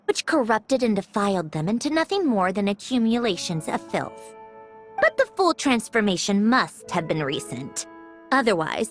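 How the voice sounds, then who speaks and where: Haughty, animated young woman scientist. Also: mid-range voice. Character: self-assured, haughty young woman scientist, brisk and superior. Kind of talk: scripted video game character dialogue. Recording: game music behind the voice.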